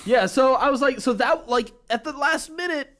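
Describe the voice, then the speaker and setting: A man, an animated and opinionated podcast co-host chatting casually as he recaps TV shows. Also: extremely high pitch, normal speed.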